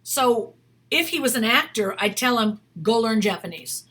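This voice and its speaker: A woman's voice: a little high, lively intonation, moderate pace, fairly bright tone. A middle-aged woman, an acting career coach, giving casual, emphatic advice to camera. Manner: chatty, emphatic, reads a viewer's email aloud.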